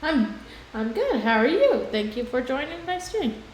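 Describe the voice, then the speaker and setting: A woman's voice: high-pitched, sing-song, unhurried, a little mellow, a little hiss or hum. A woman chatting casually as she livestreams a video game, with game music behind her. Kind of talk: casual livestream gaming chat. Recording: echoey room, music behind.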